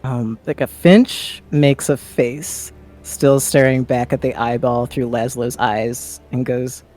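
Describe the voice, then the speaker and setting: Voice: medium pitch, fairly even intonation, takes it slowly, darkish tone, faint music behind. A player with an androgynous voice, casually roleplaying in a tabletop fantasy game.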